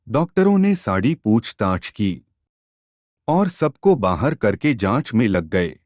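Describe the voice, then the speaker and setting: A man narrating a scripted story as a storyteller, in a measured, dramatic way. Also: on the low side, a little mellow, dry recording, clean studio sound.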